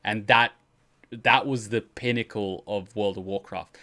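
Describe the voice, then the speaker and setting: A man's voice: on the low side, steady tone, a little slow, bright, forceful tone. A man hosting a reaction stream, chatting casually and enthusiastically with casual swearing. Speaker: host of a reaction livestream. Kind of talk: casual commentary and chat.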